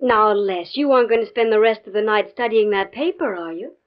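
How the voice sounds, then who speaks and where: An actress playing a practical, persuasive fiancee in a 1950s radio drama. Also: female voice, mid-range voice, brisk, close to an everyday voice. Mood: coaxing, skeptical. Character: practical, coaxing fiancee of a stuffy academic. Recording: muffled, faintly noisy 1950s radio recording.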